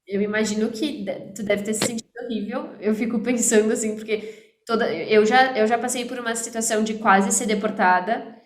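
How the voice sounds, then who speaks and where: A woman's voice: fairly high pitch, steady tone, fairly quick, reverberant. A woman, hosting a casual chat and following up with questions and summaries. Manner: engaged interviewer, adds follow-up questions and summaries. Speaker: host, teacher.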